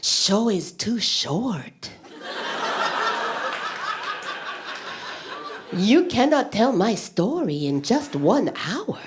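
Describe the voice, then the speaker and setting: A woman comedian voicing her blunt, demanding immigrant mother in slow, clipped, accented broken English. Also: mid-range voice, sing-song, background noise. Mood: unimpressed. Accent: foreign-accented English.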